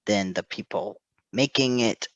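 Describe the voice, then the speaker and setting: A man chatting casually in a halting, self-deprecating, giggly way. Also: lowish voice, no echo, highs cut off. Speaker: co-host, fan fiction proofreader. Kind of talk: casual rambling chat.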